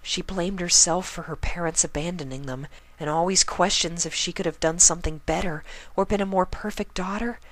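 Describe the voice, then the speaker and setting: Man putting on a high, gentle timid female pony. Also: a little fast. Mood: sad, confiding. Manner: hushed, tender. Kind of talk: fan fiction reading of a cartoon.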